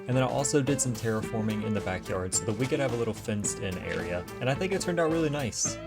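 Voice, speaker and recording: A man casually talking through his building work in a video game, easygoing and thinking aloud, his voice a little raspy from allergies. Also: medium pitch, fast. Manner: relaxed, easygoing self-talk while building. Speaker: gaming channel host. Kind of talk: casual gameplay commentary. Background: faint background music.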